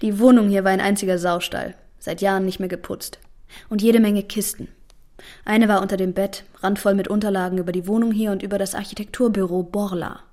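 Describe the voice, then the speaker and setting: Actress doing guarded defiant woman, normal mid pitch, natural radio drama dialogue. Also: steady tone, brisk, warm tone, lightly acted. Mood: confiding. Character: guarded, defiant, pragmatic woman confessing shady dealings.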